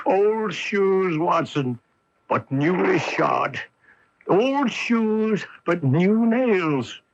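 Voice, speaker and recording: Confident master detective, gravelly, swooping delivery, emphatic, amused, 1980s radio drama. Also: male voice, high-pitched, expressive, drawn-out words, background noise, exaggerated voice. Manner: theatrical, emphatic deductive delivery. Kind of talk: 1980s radio mystery acting. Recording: dull 1980s AM radio broadcast.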